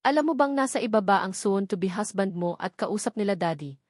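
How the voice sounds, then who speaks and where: A woman's voice: mid-range voice, expressive, fairly quick, darkish tone, dry recording, studio quality, natural voice. Woman reading as a pestering Filipina little sister. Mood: impatient. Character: pestering, impatient youngest sister. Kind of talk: audiobook character dialogue.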